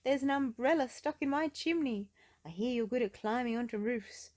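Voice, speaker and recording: A haughty rich gentleman from a children's storybook, acted out by a woman narrator. He is pompous and bossy, with a raised, forceful delivery. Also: a little high, moderate pace, voice pushed up, natural voice. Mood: pompous, demanding.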